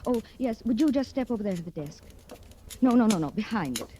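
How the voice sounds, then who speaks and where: An actress playing a wealthy, persuasive wife in a 1940s radio drama, with poised, insistent, deliberate phrasing. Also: female voice, a little high, expressive, warm tone, lightly acted. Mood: commanding, persuasive. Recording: slightly noisy old radio recording.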